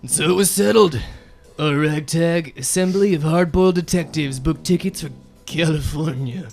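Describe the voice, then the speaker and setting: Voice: mid-range voice, moderate pace, darkish tone, close to an everyday voice. A young performer playing a pompous, exasperated detective boss in a comic radio serial, wordy and indignant. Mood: dry noir narration. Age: young adult. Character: pompous hard-boiled detective boss, exasperated and self-important. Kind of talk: comic radio serial acting with noir narration. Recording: guitar music behind the voice.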